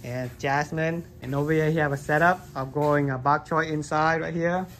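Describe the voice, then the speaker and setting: A man with a slightly high voice gives a relaxed gardening walkthrough, chatting loosely as he goes. He films with a handheld phone in a basement grow room, with a faint hum behind him. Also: normal speed. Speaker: gardening vlogger. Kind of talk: casual how-to tour. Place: basement grow room with plants under grow lights. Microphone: handheld phone camera, filming his hands.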